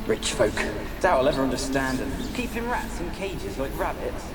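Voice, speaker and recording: A man's voice: mid-range voice, sing-song, normal speed, lightly acted. Man acting a bitter peasant, roomy, distant. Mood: scornful. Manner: crude, rustic phrasing. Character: bitter, vengeful peasant man, crude and callous. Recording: roomy, distant, under loud music.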